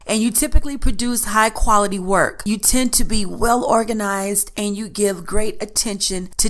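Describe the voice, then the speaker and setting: A woman's voice: mid-range voice, fairly bright tone. A woman hosting a solo podcast in a chatty, earnest way, her voice stuffed up from allergies. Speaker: podcast host. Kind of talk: casual solo podcast talk.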